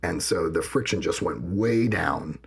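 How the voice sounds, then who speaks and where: A man co-hosting a podcast, with a gravelly voice, talking casually and telling personal stories. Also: sits low, animated, a little slow. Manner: personal, reflective, tells anecdotes. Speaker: podcast co-host. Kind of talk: casual conversation.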